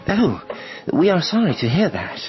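A man playing a sympathetic, pleading gentleman charity collector in a radio play, formal and earnest. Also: a little high, wildly swooping pitch, moderate pace. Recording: dull lo-fi audio with music behind.

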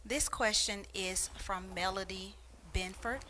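Woman reading a viewer question, bright voice, calm and measured. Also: mid-range voice, slight background noise, low-quality recording. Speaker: question reader.

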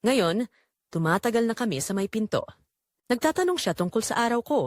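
A woman narrating a scripted first-person story in a confessional, dramatic way with deliberate phrasing. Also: a little high, very animated, no echo, clean studio sound.

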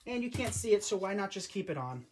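Brief: young male craft host, early twenties, relaxed and chatty, recorded at home on a camera over the table. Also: high voice, quick pace, very noisy. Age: 20s. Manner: chatty, loose and conversational with frequent restarts. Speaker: host of a live crafting stream. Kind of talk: casual live-stream craft demo. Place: home craft room, indoors. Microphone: camera or phone on a tripod over the table.

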